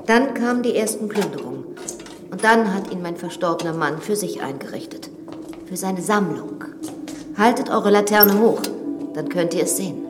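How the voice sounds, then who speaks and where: An actress playing a composed, matter-of-fact widow of an old manor in a German radio drama, speaking in a measured, guiding way. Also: female voice, medium pitch, expressive, music in the background, close to an everyday voice. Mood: sober, matter-of-fact. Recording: echoey reverberant vault.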